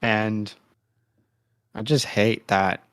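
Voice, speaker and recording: A man's voice: lowish voice, fairly even intonation, extremely slow, no room sound. A man in his late twenties co-hosting a casual sports livestream, talking informally with plenty of slang. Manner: informal slang, chummy address. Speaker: co-host of a fantasy football show.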